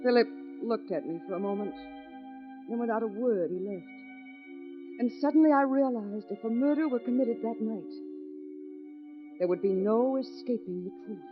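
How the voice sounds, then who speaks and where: An actress playing a frightened, suspicious wife who tells her own dark tale in 1940s radio mystery style, with anguished dramatic pauses. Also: fairly high pitch, natural voice. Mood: brooding dread. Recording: dull old radio recording, music behind. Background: dramatic music.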